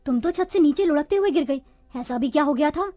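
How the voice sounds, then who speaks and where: A woman's voice: sits high, expressive, fast talker, mellow, no room sound, clean studio sound. A woman voicing poor, resourceful village women in a Hindi cartoon moral story, with a dramatic, complaining manner. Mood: alarmed. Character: village women of a poor family, resourceful daughters-in-law and their mother-in-law.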